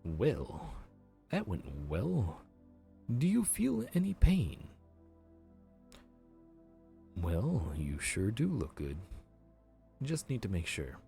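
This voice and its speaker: A man plays a grim reaper who runs an underworld office like a tired bookkeeper, chatty and a little awkward. His voice sits low but swoops widely up and down as he banters, with soft music behind him in this audio roleplay. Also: wildly swooping pitch, takes it slowly, gravelly, full character voice. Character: friendly, flustered male grim reaper supervisor. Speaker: voice actor.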